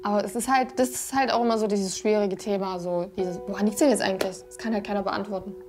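A woman's voice: medium pitch, fairly even intonation, fast, a little mellow. A 29-year-old woman chatting casually in a documentary, light and self-mocking as she talks. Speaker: reality documentary participant. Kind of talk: casual chat. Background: background music.

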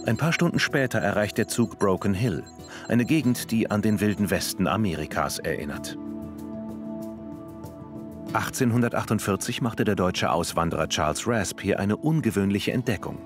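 Deep male narrator, calm scripted documentary read, music underneath. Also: moderate pace.

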